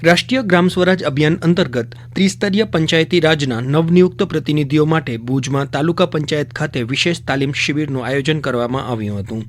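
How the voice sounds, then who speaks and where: A male radio news presenter. His voice sits somewhat high as he reads a scripted bulletin. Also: quick pace, few pauses.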